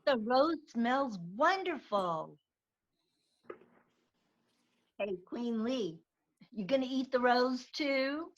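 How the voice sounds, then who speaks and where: A woman's voice: high-pitched, lively intonation, takes it slowly, muffled recording. A woman acting a nosy, cheeky, teasing friend in a comedy script read over a video call. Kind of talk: live comedy screenplay table read.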